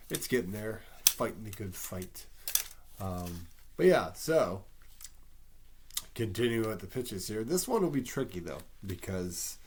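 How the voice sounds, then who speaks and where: Casting: a man, low-voiced, stuffy from a cold, rambling self-deprecating fan, faint hiss behind. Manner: rambling, self-deprecating, many ums and restarts. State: congested from a head cold. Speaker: fan pitching movie sequel ideas. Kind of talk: casual solo monologue.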